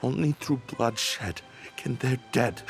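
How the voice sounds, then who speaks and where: An actor playing a stern, grieving guard captain in a video game, with a gravelly voice and a grave, restrained delivery. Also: male voice, medium pitch, slow, faint music behind. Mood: grimly resolved. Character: stern, grief-hardened guard captain set on bloody revenge. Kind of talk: dramatic game voice acting.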